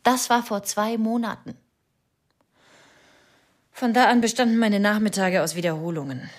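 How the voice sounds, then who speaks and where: A woman's voice: mid-range voice, normal speed, close to an everyday voice. An actress playing a bitter, suspicious wife confiding about her husband in a plain, matter-of-fact radio drama confession. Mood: wounded, suspicious.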